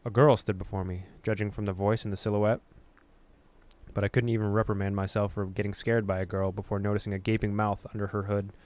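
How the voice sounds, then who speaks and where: A man reading a horror visual novel aloud as a gaming host, with expressive storytelling and casual delivery. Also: on the low side, fast talker.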